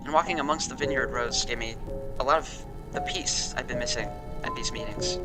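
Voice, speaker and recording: An actor playing a shaken, wary man who recounts a frightening experience in an audio drama, telling it with dramatic pauses. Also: medium pitch, loud music behind the voice, natural voice. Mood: uneasy, haunted. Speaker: interviewee. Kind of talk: audio drama monologue, interview testimony. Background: musical score.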